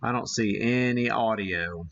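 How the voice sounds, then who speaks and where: A man talks casually as he hosts a live demonstration. His delivery is slow and halting, as if working things out aloud, with a folksy, self-deprecating manner. The recording is somewhat dull and lo-fi. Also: lowish voice, vocal fry, a bit bright. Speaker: host of a live ham radio demonstration. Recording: dull, muffled lo-fi sound.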